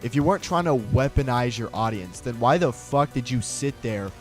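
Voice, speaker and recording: A man's voice: mid-range voice, expressive, normal speed. Sarcastic man ranting over music. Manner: sarcastic, blunt, slangy. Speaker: video essay commentator. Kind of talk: casual profane opinion rant. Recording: music behind the voice. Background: background music.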